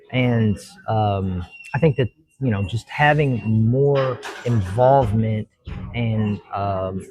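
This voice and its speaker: A man's voice: lowish voice, darkish tone, rough recording. A man, a judge answering interview questions in an earnest, reflective way and explaining at length. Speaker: judge, talk show guest.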